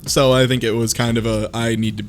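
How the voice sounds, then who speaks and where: Male panelist, wry and laid-back, mid pitch, soft music underneath. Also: level tone, brisk. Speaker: podcast guest. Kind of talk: casual group discussion.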